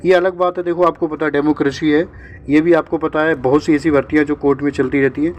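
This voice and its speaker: A man's voice: a little high, level tone, fast. A man presenting exam updates informally to candidates, repeating himself with check-in tags, mixing in English words and sounding reassuring. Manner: mixes English words into speech.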